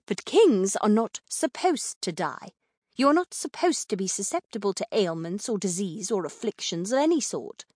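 A woman's voice: sits high, sing-song, moderate pace, few pauses, no echo, studio quality, voice pushed up. A woman voicing a stern, protective royal lady in an audiobook, commanding and emphatic in manner. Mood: stern, urgent.

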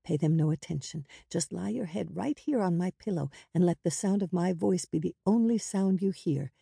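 A woman voicing a protective ten-year-old big sister, speaking gently, softly and patiently in an audiobook. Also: lowish voice, moderate pace, gravelly, breathy edge, no room sound, studio quality, heavily acted. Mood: soothing. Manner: soft, soothing, patient.

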